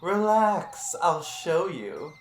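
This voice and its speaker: A woman's voice: on the low side, big pitch swings, drawn-out words, slight background noise, voice pushed up, full character voice. A woman voicing a menacing, seductive prima donna who gives teasing orders in an amateur dramatic reading. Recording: roomy echo with faint music.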